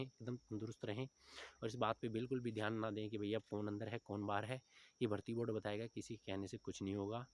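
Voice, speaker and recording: A man's voice: lowish voice, darkish tone. A man of about thirty, giving informal motivational advice to students, often adding check-in tags as he goes. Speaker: exam coaching teacher giving advice to recruitment candidates.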